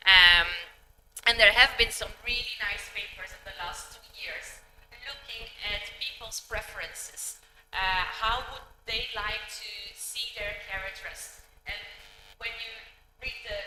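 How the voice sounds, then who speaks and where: A woman giving an academic conference lecture, measured and peppered with ums and uhs. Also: mid-range voice. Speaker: conference speaker. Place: large conference hall. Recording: echoey hall with hum.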